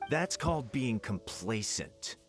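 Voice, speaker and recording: Haughty, animated businessman. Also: fairly low pitch, takes it slowly, soft background music. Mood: irritated. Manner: complaining, self-important. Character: haughty, impatient wealthy businessman. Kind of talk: video game character acting.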